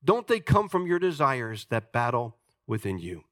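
A man in his sixties preaching a sermon as pastor, emphatic and jokey, swinging between loud points and quiet asides. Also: mid-range voice, sing-song, moderate pace, no room sound, studio quality. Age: 60s.

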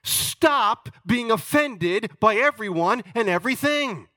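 Pastor, man, 60s; high, bright voice, dry recording, emphatic and humorous sermon delivery. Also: lively intonation, slow, no room sound. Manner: emphatic, jokey preaching with wide swings in loudness.

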